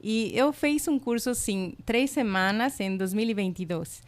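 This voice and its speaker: Woman with a spanish accent, smooth voice. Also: medium pitch, a little slow. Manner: warm, enthusiastic, many fillers like "sabe" and "eh". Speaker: college international representative. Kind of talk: casual interview.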